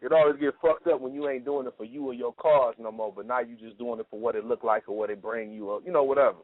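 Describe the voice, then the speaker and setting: A man hosting a casual radio talk show, telling stories in a profane, animated way. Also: medium pitch, fast talker, no echo. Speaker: podcast host. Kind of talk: casual chat. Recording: dry phone-like lo-fi sound.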